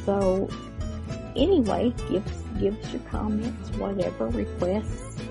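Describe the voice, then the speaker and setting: Slow-paced, gravelly-voiced woman host. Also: mid-range voice. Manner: halting, rambling. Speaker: podcast host. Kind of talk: casual chatty show introduction. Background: guitar music.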